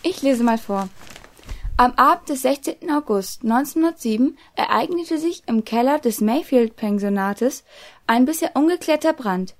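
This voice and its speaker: Eine Frau spielt in einem Hörspiel eine höfliche, neugierige, etwas ängstliche jugendliche Internatsschülerin. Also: female voice, high voice, lively intonation, moderate pace. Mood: reading aloud. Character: polite, curious, slightly timid teenage boarding-school girl. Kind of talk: scripted audio drama acting.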